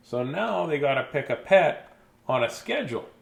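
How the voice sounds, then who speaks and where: A man with a slightly high voice reads a children's story aloud. His pitch moves up and down in a very animated way. He is theatrical and playful, stepping out of the text for chatty little remarks. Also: moderate pace, vocal fry. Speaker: storyteller reading children's books. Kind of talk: expressive bedtime story read-aloud with casual asides.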